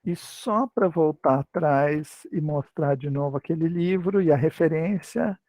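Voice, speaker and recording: A man's fairly high voice with a gravelly fry runs through his answers, recorded dry and close. He explains teaching approaches conversationally, hesitating and restarting his sentences on a video call. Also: unhurried, darkish tone, no echo. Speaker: language teaching lecturer. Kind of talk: academic talk, casual explanation.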